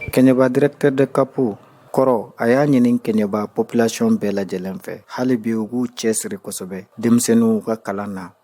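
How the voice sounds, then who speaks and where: A man reading a news voice-over report in a measured, scripted way. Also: mid-range voice, fairly even intonation, mellow.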